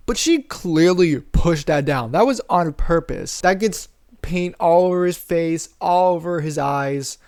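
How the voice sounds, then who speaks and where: Young male YouTube commentator, slightly high voice, casual and irreverent comedic recapping. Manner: irreverent, sarcastic, acts out characters' lines.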